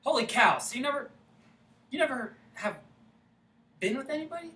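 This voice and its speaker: A woman's voice: high-pitched, expressive, moderate pace, bright, forceful tone. A woman acting a persistent, teasing small-town suitor in a staged play reading, insistent, folksy and casual. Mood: reassuring. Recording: roomy, distant microphone, faint noise.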